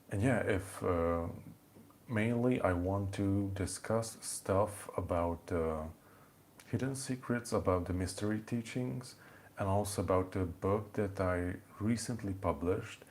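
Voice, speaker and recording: A man's voice: deep voice, a little mellow, slight background noise. A young man from Hungary with a Hungarian accent, hosting a rambling, casual live-stream chat from home, full of fillers and restarts. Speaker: channel host. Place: home in the evening.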